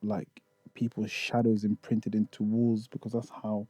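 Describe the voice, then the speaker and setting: A man's voice: on the low side, completely flat delivery, measured pace, dark tone, dry recording. A man raised in the UK, talking casually as a podcast guest about touring in Japan, with many restarts and fillers. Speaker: guest, Japan tour organizer.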